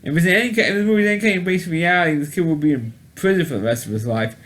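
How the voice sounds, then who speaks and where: A man's voice: fairly high pitch, rapid-fire, fairly bright tone. Rambling man reviewing an old movie. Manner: rambling, with many restarts and repeated words; blunt and mildly profane. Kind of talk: casual spoken movie review.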